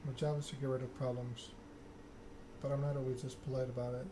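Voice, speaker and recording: Man playing a menacing, flat-toned vampire enforcer. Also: medium pitch, fast, lightly acted. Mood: stern warning. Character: menacing, curt male vampire enforcer, coldly controlled. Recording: clear background noise.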